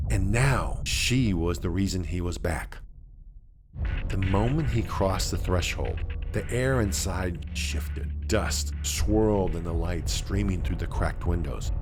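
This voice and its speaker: A man narrating a scripted mystery story in a dramatic, suspenseful way with deliberate phrasing. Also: on the low side.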